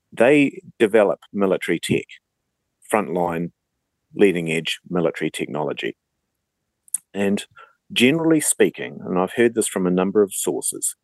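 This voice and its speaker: A man, explaining things as an interview guest in a measured, deliberate way. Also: low voice, no echo.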